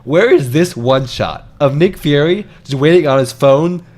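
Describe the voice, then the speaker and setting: A young man, co-hosting a casual movie review in a jokey, excitable way with plenty of rhetorical questions. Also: fairly high pitch, unhurried. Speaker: film review co-host. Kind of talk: casual unscripted movie chat.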